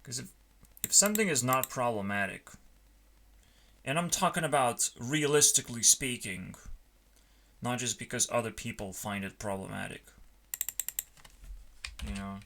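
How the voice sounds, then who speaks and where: A man rambling casually in a halting, musing way, often trailing off, as if talking through his work on a stream. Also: medium pitch, bright, forceful tone. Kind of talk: rambling casual chat while coding. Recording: noticeable background noise, keyboard clicks.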